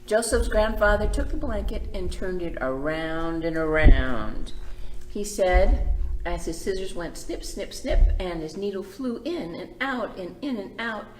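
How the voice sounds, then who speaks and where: Female children's storyteller: highly expressive read-aloud, mid-range pitch with big swings, echoey room. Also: unhurried, a little hiss or hum. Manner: expressive, emphatic. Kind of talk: animated picture-book read-aloud with asides to children.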